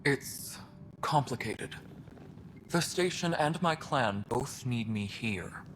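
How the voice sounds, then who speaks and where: An actor playing a world-weary, blunt alien supervisor in a video game, with faint noise behind him. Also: male voice, mid-range voice, lively intonation, slow pace, a bit bright. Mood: weary, bitter. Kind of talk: video game dialogue acting.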